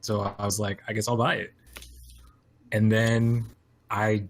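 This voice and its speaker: A man chatting as a podcast guest in a relaxed way, laughing easily. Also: on the low side, a little slow, a little hiss or hum. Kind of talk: casual podcast chat.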